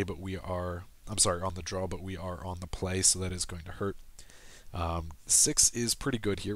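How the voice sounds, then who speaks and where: A man with a low voice and little rise or fall in his pitch talks through a recorded card game. He explains each play patiently, pausing with ums and correcting himself as he goes. His voice sounds a little worn, as if he is losing it. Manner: steady explanatory, frequent ums and self-corrections. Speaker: gameplay commentator. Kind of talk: casual game commentary over screen recording.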